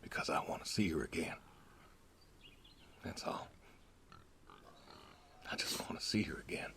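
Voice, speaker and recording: An actor playing a weary, stoic older pig farmer in a video game, terse, plainspoken and solemn. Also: male voice, a bass voice, animated, a little slow, vocal fry, a bit bright, a little hiss or hum, heavily acted. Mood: sad, resigned. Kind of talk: naturalistic video game acting.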